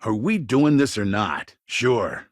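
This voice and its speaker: A man playing a smooth-talking, self-important middle-aged colonial governor in a video game, with polished politician's phrasing and a husky voice. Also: mid-range voice, animated, slow pace, dry recording, studio quality. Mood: smooth, politicking. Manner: polished politician's talk, veiled threats. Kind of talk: game dialogue voice lines.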